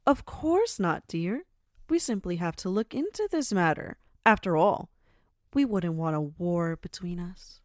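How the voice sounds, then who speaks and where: A woman playing a commanding mafia madam in an audio drama, measured and mock-sweet with authority underneath. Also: on the low side, big pitch swings, dry recording, clean studio sound. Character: commanding mafia madam, silkily polite yet menacing. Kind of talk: audio roleplay acting.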